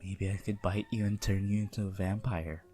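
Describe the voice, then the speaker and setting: Guy acting as a possessive vampire prince, asmr style, really low voice, slow n teasing, music behind. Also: fairly even intonation, warm tone, natural voice. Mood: seductive, possessive. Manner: slow, teasing, menacing, intimate delivery.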